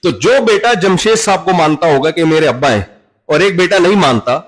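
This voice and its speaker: A man's voice: a little high, expressive, fairly quick. A man with an Urdu-speaking Pakistani accent giving a sermon, talking conversationally and acting out little dialogues with everyday examples. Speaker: Islamic preacher.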